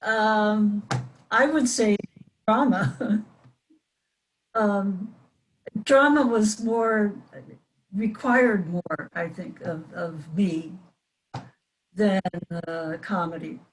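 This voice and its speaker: An older woman speaks slowly and reflectively, weighing her words with small pauses. She answers as a panel guest. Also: medium pitch, a little hiss or hum, poor audio. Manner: measured, reflective. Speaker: panel guest, former child radio performer.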